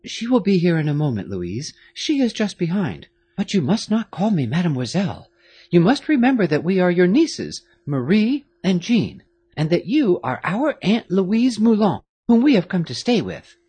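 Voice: mid-range voice, big pitch swings, normal speed, breathy edge, pitched above the performer's own voice. A narrator voicing a composed young noblewoman. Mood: earnest. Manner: earnest, gently correcting.

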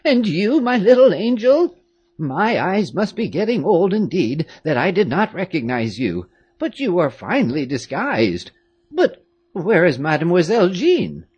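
A narrator voicing a fussy, fiercely loyal old woman who once nursed the family, high-pitched and chattering in exclamations. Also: big pitch swings, measured pace, a little mellow, husky, no room sound, lo-fi sound. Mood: surprised. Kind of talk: audiobook character reading.

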